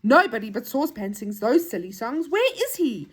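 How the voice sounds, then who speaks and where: A woman's voice: a little high, very animated, moderate pace, husky. A woman voicing an eager, loyal young boy in a children's storybook, forthright and full of exclamations. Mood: excited.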